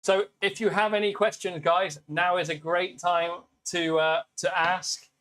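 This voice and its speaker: Upbeat British man with a loud voice. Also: high voice, steady tone, measured pace. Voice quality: naturally loud voice. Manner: chatty, upbeat, greets viewers by name. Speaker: live stream host. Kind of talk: casual live stream demo and Q&A. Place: workshop with laser engravers.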